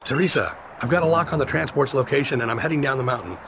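A man's voice: mid-range voice, fast, close to an everyday voice. Man acting terse commanding special agent, music behind. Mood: terse, controlled. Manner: clipped, authoritative. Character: terse, commanding male special agent leading stranded soldiers. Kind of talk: video game cutscene acting.

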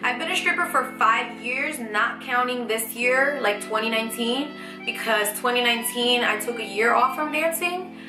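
Young female vlogger, upbeat, rambling, slightly high, echoey room over background music. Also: normal speed. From United States. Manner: chatty, animated, lots of fillers and restarts. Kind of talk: casual Q&A vlog. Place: small bedroom with shelves, indoors.

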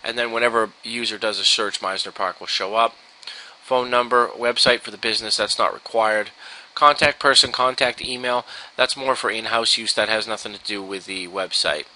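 An American man giving an informal software tutorial, explaining step by step in a casual, instructional way. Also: lowish voice, steady tone, normal speed, a little hiss or hum, poor audio. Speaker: software trainer. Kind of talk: informal screen-share tutorial. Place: indoors, at a computer.

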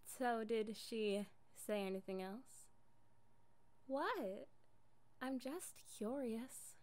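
A woman's voice: a little high, drawn-out words, darkish tone. A young woman playing an affectionate, jealous girlfriend in an improvised ASMR roleplay, casual and coaxing in an intimate way. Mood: jealous, defensive.

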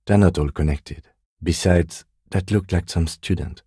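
Very deep, mellow, restrained police lieutenant. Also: male voice, breathy edge, no echo, studio quality, full character voice. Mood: calm, dry. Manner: measured, restrained, deadpan. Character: composed, dry, dutiful male police lieutenant detective. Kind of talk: video game dialogue acting.

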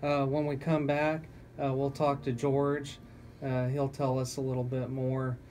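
A man's voice: mid-range voice, level tone, unhurried, darkish tone. A man hosting a radio interview in a conversational style, putting questions to his guests. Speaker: radio host. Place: small radio studio. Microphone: studio microphone with headset.

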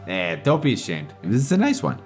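A man's voice: mid-range voice, wildly swooping pitch, fairly quick, creaky. An actor playing a sinister, bloodthirsty man in an audio drama, sly, teasing and ominous, in an echoey recording. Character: sinister, bloodthirsty male stalker, slyly playful. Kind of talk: audio drama dialogue and narration. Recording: echoey long reverb under loud music.

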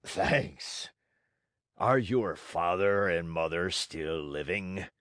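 A man voicing a huge, gruff, bearded puppet master with a hoarse voice, blustering but soft-hearted, in a storybook reading. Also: deep voice, lively intonation, extremely slow, no echo, clean studio sound, full character voice. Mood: gruff, self-pitying.

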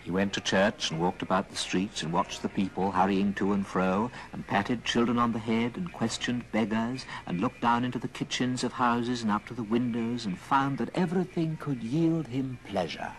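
A man giving expressive, theatrical storybook narration on an old radio drama record. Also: medium pitch, normal speed, few pauses, poor audio. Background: music.

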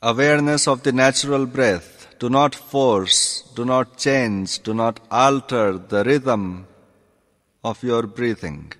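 A male yoga teacher guides a meditation in a calm, deliberate manner. His pitch sits in the middle range and he speaks very slowly, in short measured phrases. The recording carries a long, hall-like reverb.

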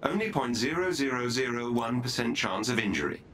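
A man's voice: medium pitch, sing-song, a little fast, fairly bright tone, slight background noise. A man playing a formal, courteous and dryly understated artificial intelligence butler in a video game. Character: polite, unflappable male AI butler.

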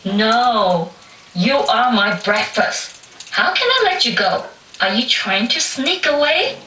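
A menacing tiger grandaunt acted by a woman for a puppet show. She is sly and drawls her words in a bright, swooping voice. The recording is distant, with faint music. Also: a little high, sing-song, slow pace, echoey room, slight background noise, heavily acted.

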